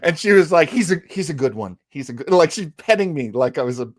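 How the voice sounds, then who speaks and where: A man's voice: on the high side, animated, quick pace, no room sound, studio quality. A man hosting a podcast in casual, conversational chat. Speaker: podcast host.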